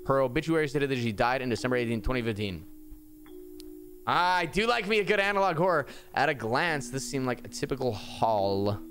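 A man's voice: mid-range voice, animated, brisk, fairly bright tone, faint music behind. A young man streaming from his room into a desk mic, reading aloud and reacting informally as he muses. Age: young adult. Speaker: streamer reacting to a horror video. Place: small indoor room at a desk. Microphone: desk microphone close to the mouth.

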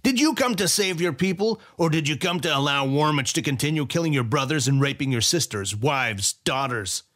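A man voicing a commanding, shrewd army general who booms and mocks. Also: animated, moderate pace, few pauses, fairly bright tone. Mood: bellowing. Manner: booming, declamatory.

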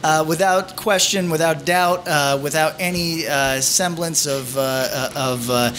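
A man's voice: a little high, measured pace, a bit bright, soft background music. A man hosting a podcast, introducing the show with mock-grand words and hesitant fillers. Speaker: podcast host.

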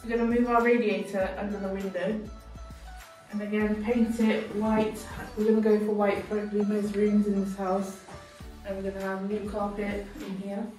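A woman in her thirties narrates a casual house tour at a medium pitch. Her voice rings heavily around bare, empty rooms and sits some way from the camera's microphone. Music plays clearly behind her. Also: level tone, moderate pace, darkish tone. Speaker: vlogger giving a house tour. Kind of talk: casual walk-and-talk narration. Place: empty house rooms, hallway and stairs, indoors. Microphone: camera held by another person, several metres away. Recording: echoey empty rooms, distant mic, background music.

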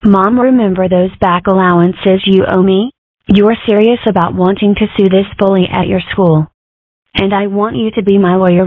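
Woman as stilted, wry comic-strip fox, medium pitch, narrow phone-like recording. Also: no echo, lightly acted. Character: wry young fox girl and her fox mother. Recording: muffled, narrow phone-like sound, close and dry.

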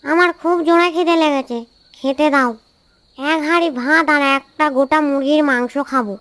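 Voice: high-pitched, moderate pace, fairly bright tone. A performer voicing a greedy, stubborn little ghost child in a cartoon, always demanding. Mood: whiny demanding.

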